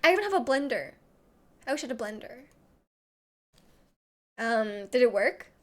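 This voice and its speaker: A woman's voice: a little high, big pitch swings, normal speed. A young woman streamer with a slight lisp, chatting casually and rambling with lots of "like" while reading chat messages. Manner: rambling, heavy use of "like".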